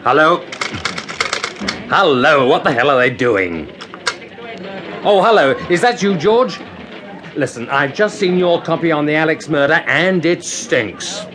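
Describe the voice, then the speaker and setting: An actor playing an irascible newspaper editor barking orders down the phone in a vintage radio drama. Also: male voice, fairly high pitch, lively intonation, measured pace, long pauses. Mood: irritated. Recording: noisy old radio drama recording.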